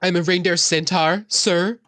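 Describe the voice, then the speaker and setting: A woman's voice: on the low side, steady tone, unhurried, fairly bright tone, no room sound. Wry woman playing a tabletop game, dry sound. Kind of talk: casual in-character improv.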